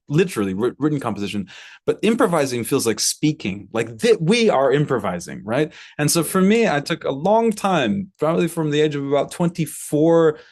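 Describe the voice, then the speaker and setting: A man's voice: on the high side, darkish tone. An American man in his thirties talking reflectively in an interview, searching for his words and repeating some for emphasis. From Brooklyn, United States. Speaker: composer and violinist interviewee.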